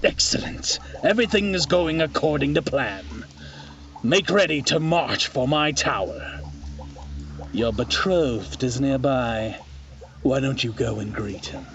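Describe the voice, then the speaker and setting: A man playing a scheming, commanding villain lord in a fantasy audio drama, speaking in a smug, deliberate way. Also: mid-range voice, lively intonation, fairly bright tone, muffled recording.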